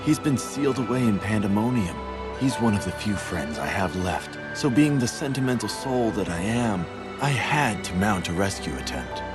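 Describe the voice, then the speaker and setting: An actor voicing a sly, playful mastermind in a video game, condescending and teasing, with theatrical drawn-out delivery. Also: male voice, fairly low pitch, animated, lightly acted. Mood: coy, amused. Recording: music under the voice.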